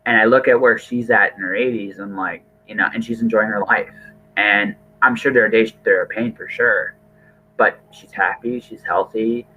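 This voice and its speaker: A man's voice: on the low side, fairly even intonation, moderate pace, pushed and bright, breathy edge, highs cut off. A middle-aged man hosting a casual podcast conversation, earnest and rambling, often repeating his words. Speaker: podcast host.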